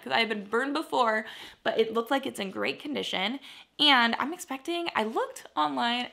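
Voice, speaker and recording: A young American woman vlogging from her living room in a casual, chatty way. Her voice is bright and forward, with a noticeable creaky fry. She sounds upbeat and gushes over what she shows. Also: fairly high pitch. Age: young adult. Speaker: vlogger, clothing reseller. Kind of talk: casual chatty haul video to camera.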